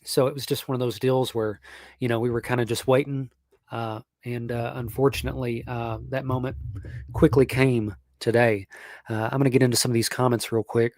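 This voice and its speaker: A young man, hosting a sports stream at an even, middle pitch. He rambles along with fillers and restarts. The close mic sounds dry, with no room around it. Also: fairly quick, no echo. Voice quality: nasal. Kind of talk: casual live-stream talk.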